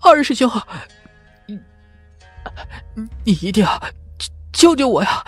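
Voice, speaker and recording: Wounded young martial artist, high-pitched and slow, pleading desperately, vengeful, audiobook drama. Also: male voice, big pitch swings, no room sound, soft background music, heavily acted. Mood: terrified pleading. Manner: shrieking, halting, pleading. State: gravely wounded, dying. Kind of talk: acted audiobook dialogue.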